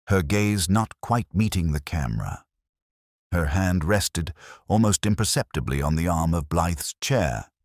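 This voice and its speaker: An older man reading a literary first-person detective story in a measured, reflective and solemn manner. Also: sits low, dry recording, clean studio sound. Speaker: narrator.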